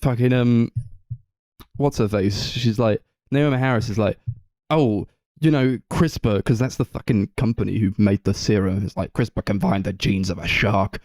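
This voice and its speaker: A man chats casually as a film podcast co-host in a dry-sounding recording. His delivery is animated and rambling, acting out lines as he retells things and dropping in swear words. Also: fairly low pitch, normal speed, no echo. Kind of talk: casual film review chat.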